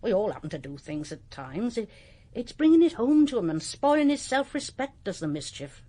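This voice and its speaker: A husky, homely old English landlady. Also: medium pitch, big pitch swings, moderate pace, dark tone. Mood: frank, kindly. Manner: homely, matter-of-fact, good-humoured. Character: kindly, plain-spoken plump old country inn landlady. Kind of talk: naturalistic radio drama acting.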